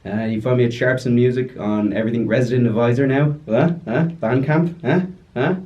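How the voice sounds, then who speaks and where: A young man telling a story as a podcast guest, joking and rambling with quick asides. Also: medium pitch, warm tone, muffled recording. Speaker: podcast guest, music producer and DJ. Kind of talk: casual storytelling chat.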